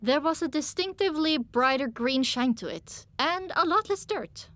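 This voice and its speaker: A woman's voice: high voice, lively intonation, moderate pace, fairly bright tone, no room sound. A woman reading dramatic first-person audiobook narration, with music playing underneath.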